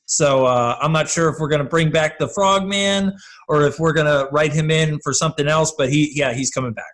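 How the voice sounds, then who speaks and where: A man's voice: a little high, a little fast, fairly bright tone. A man chatting casually and enthusiastically as a guest creator in a podcast interview. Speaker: podcast guest, comedy audio drama creator.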